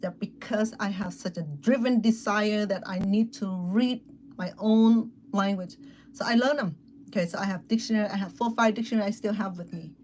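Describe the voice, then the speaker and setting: A woman's voice: mid-range voice, moderate pace, a little mellow, slight background noise. A middle-aged woman from Thailand with a Thai accent who hosts a cooking channel. She tells a personal story in a casual way and keeps turning to her viewers with "okay" and "remember". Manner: chatty, addresses viewers directly with frequent "okay" and "remember".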